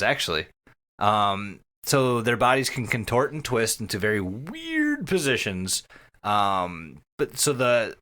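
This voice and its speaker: A man co-hosting a podcast, talking casually and describing things in an animated way with casual swearing. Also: mid-range voice. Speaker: podcast co-host.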